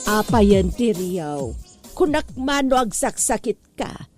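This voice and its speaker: A woman's voice: mid-range voice, big pitch swings, takes it slowly, over loud music. A woman with an Ilocano accent playing an exasperated, suspicious wife who scolds and questions in a comedy drama. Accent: Ilocano, northern Philippines. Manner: nagging, incredulous questioning.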